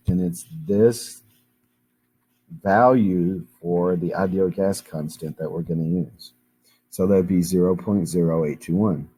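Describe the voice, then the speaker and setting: A man teaching a chemistry lesson while hoarse and losing his voice, explaining each step patiently with frequent ums. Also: low-pitched, dark tone. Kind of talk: recorded classroom lecture.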